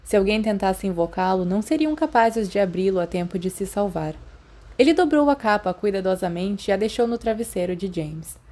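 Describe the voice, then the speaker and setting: A woman's voice: mid-range voice, lively intonation, fairly quick, darkish tone. A woman with a Brazilian accent, reading scripted fan fiction as an audiobook narrator.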